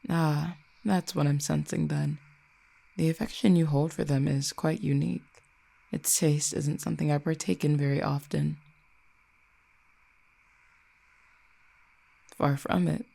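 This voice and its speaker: Audio drama role: ancient fallen cupid, acted by one performer, mellow and creaky mid-pitched voice, formal, wry, quietly menacing. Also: steady tone. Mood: calm, intrigued. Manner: formal, eloquent, measured archaic phrasing. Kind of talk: roleplay audio drama, addressing the listener.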